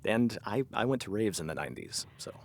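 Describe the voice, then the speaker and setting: A man playing a gentle, earnest middle-aged cat lover in a comedy audio drama, speaking tenderly and a little haltingly. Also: lowish voice, sing-song, gravelly, slight background noise. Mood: heartfelt.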